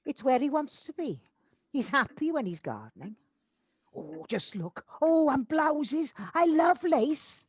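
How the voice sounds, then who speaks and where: Casting: woman playing older, reserved, wounded woman for audio drama; very expressive pitch, close dry home recording. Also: measured pace, dry recording. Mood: delighted. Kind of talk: amateur audio drama acting. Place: recorded at home on a personal device.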